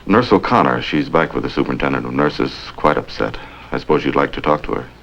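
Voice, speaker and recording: Gravelly, very deep-voiced doctor. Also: male voice, lively intonation, fast, fairly bright tone, exaggerated voice. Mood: perplexed, troubled. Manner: polite, earnest, perplexed. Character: concerned, courteous male hospital chief of staff. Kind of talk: 1950s crime drama acting. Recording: dull vintage TV soundtrack, faint noise.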